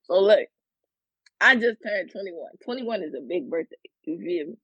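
A man's voice: high-pitched, expressive, normal speed, husky, no echo. A young man in his twenties, a rapper guest, talking in a laid-back, slangy way and laughing easily. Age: 20s. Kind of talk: casual interview chat. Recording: dull, dry lo-fi sound.

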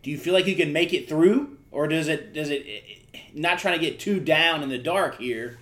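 A man hosting a podcast, laid-back and quick to laugh, asking questions in a halting, casual way. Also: a little high, a bit bright. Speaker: podcast host. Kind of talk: casual interview chat.

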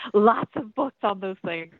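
A woman's voice: medium pitch, very animated, slow pace, no echo. Chatty woman on a tinny phone line. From Tennessee, US. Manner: chatty, rambling, many ums, occasional swearing. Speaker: metal band singer, interview guest. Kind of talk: casual interview answers.

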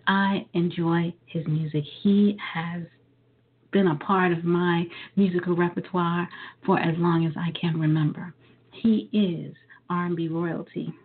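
A woman hosting a live talk radio show, a little hoarse, chatting easily with frequent ums and uhs. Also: lowish voice, steady tone, measured pace, a little hiss or hum. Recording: phone-line quality.

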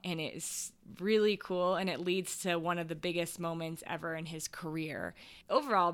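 A woman's voice: lowish voice, fairly bright tone, clear, resonant tone. A woman hosting a podcast, talking in a casual, conversational way as she introduces and interviews a guest.

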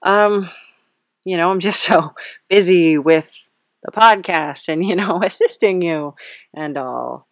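A woman's voice: on the low side, slow, no room sound, clean studio sound. A woman hosting a podcast interview in a casual, playful, rambling way, often hesitant and giggly.

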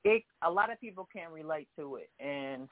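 A woman from Oklahoma calls in as a guest singer, talking softly and haltingly with plenty of fillers. Her voice comes through a thin, phone-like line with a dry, close sound. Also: low-pitched, animated, dry recording. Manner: soft-spoken, halting, many fillers. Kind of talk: phone-in interview.

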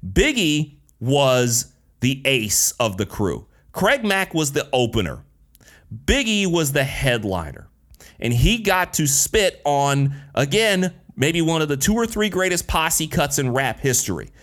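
A man's voice: fairly high pitch, big pitch swings, a little slow, a bit bright. A man in his thirties from Detroit, hosting a solo podcast in a casual, candid way, with slang and cursing. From Detroit, USA. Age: 30s. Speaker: podcast host.

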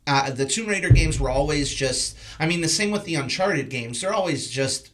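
Male podcast host, slightly high voice, informal and blunt, chatting casually about films. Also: expressive, moderate pace, fairly bright tone. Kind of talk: casual film discussion.

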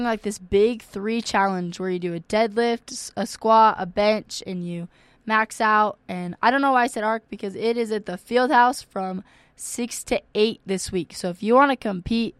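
A woman's voice: medium pitch, clean tone, no echo. A young woman hosting a campus radio show, chatty and full of fillers, her voice worn and cracking now and then. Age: young adult. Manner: chatty, many ums and likes. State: losing her voice, occasional cracks. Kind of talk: casual radio announcements.

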